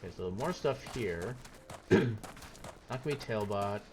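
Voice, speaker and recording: A man's voice: fairly low pitch, expressive, unhurried, darkish tone, slight background noise. A man streaming a video game, giving casual, wry commentary as he thinks aloud. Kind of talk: casual live gameplay commentary. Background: game music.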